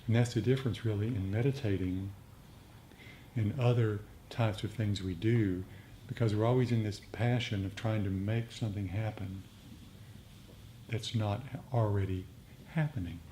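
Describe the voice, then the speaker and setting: Low-voiced man teaching meditation. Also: fairly even intonation, mellow. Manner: unhurried, repetitive phrasing. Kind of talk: reflective dharma talk and group discussion. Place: group meditation meeting. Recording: noisy live room recording.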